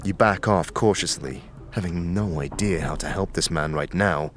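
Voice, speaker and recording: A man's voice: sits low, moderate pace, a little hiss or hum. A man reading scripted dramatic narration for an audio story. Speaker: narrator and game master. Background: clear music.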